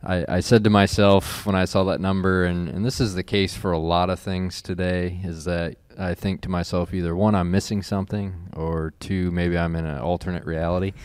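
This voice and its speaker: A man's voice: low voice, level tone, moderate pace, few pauses, clean tone, dry recording. A man, a corn specialist, talking through crop conditions in a laid-back, chuckling way. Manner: laid-back, chuckling, thinking aloud with fillers. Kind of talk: casual expert chat.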